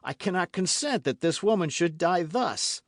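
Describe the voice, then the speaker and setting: A man's voice: sits high, animated, normal speed, no echo, studio quality, pitched above the performer's own voice. A man voicing a young man who speaks earnestly, with pain in his voice. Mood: anguished. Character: youngest of the men, torn and sorrowful.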